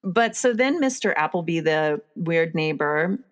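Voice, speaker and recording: A woman with a somewhat low voice hosts a relaxed conversation about a film. She talks eagerly, agrees often and is quick to laugh. Her speech is loose and chatty. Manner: enthusiastic, agreeing, chatty with many fillers. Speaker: podcast host. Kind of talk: casual film discussion.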